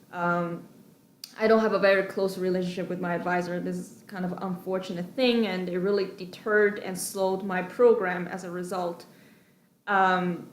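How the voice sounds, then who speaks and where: A young adult woman from China, Chinese as her first language, talking through her views in a measured, explanatory way with frequent pauses and ums. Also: medium pitch, roomy sound. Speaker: video responder. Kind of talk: monologue.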